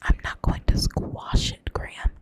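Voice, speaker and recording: Defiant teenage girl, sharp-tongued, in a soft whisper with a Southern US accent, voiced by a woman reading aloud. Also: measured pace, close to an everyday voice. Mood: teasing.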